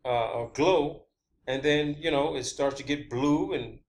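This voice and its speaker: A man with a somewhat high voice talks through his ideas as a video host. His delivery is informal and halting, circling back to repeat words for emphasis, with a blunt edge. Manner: blunt, swearing. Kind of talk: informal theorizing monologue.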